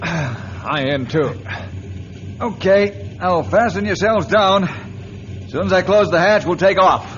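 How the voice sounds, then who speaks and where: Steady ranger, noisy vintage radio. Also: male voice, high voice, slow, long pauses. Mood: brisk. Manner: polite, businesslike. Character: courteous, steady male ranger, the hero. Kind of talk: old-time radio drama acting. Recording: muffled, noisy old radio recording.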